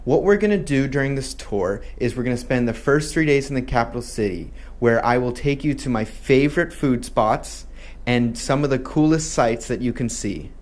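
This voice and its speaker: A man's voice: medium pitch, normal speed, darkish tone. A man who grew up in Malaysia, hosting a promo and explaining a dive tour in a friendly way, with a few restarts. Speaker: tour company host.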